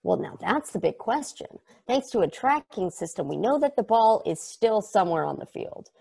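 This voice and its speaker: A young man reading a rambling, excitable probe. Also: high voice, moderate pace, vocal fry, slightly rough, exaggerated voice. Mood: chatty. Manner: enthusiastic, rambling, tangent-prone. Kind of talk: video-call table read. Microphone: video call.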